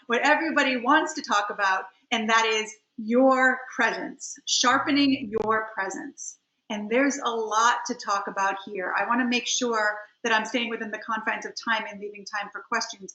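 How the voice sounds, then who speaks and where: A middle-aged woman giving an instructional webinar talk as a coach, emphatic and slowing down to stress key phrases. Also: fairly high pitch, sharp tone. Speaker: webinar presenter, communication coach and trial attorney. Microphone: computer on a video call. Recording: roomy, slightly dull computer audio.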